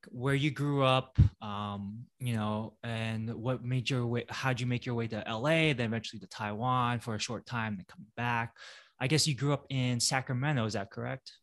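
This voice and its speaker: A man at an ordinary medium pitch hosts a relaxed podcast chat. He talks in a halting way, full of ums, uhs and restarts. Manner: halting, many fillers and restarts. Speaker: podcast host. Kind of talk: casual interview chat.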